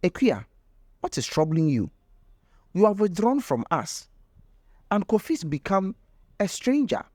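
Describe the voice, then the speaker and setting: A man plays a village elder woman from a folktale, grave and concerned. The voice is fairly high and very animated, with deliberate pacing as she questions and admonishes. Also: no echo, studio quality, heavily acted.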